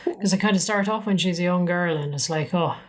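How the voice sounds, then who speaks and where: Laid-back co-host, brief dry interjections, mid pitch. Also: brisk. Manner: short agreeing replies, dry asides. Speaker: podcast co-host. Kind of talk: casual chat.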